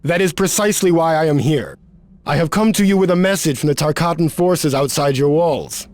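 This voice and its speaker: Man playing an earnest, higher-voiced martial arts hero. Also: brisk, darkish tone, natural voice. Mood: earnest, wary. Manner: formal, earnest, polite, uncontracted speech. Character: earnest, honorable male martial artist hero on a quest. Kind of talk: video game cutscene acting.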